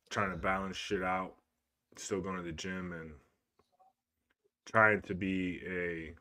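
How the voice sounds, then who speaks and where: A man's voice: low-pitched, a bit bright. A young man co-hosting a podcast in casual chat, talking in a laid-back, rambling way and swearing often. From Cuban heritage. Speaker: podcast co-host.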